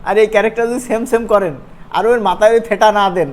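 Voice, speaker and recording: A man gives a casual, chatty software tutorial in an informal, colloquial way, often checking in with his listeners. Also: high-pitched, steady tone, fast talker. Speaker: animation course instructor. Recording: clear background noise.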